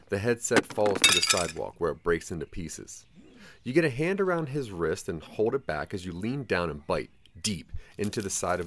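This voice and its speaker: A man's voice: fairly low pitch, background noise. A man narrating a scripted second-person audio drama in a theatrical, ominous storytelling manner.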